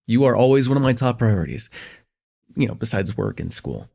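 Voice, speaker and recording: Man acting as husky, smitten young student. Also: medium pitch, sing-song, brisk. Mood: warm, teasing. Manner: hesitant, earnest, stammering, chuckling softly between phrases. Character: shy, smitten young student confessing to a close friend. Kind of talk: intimate ASMR roleplay acting.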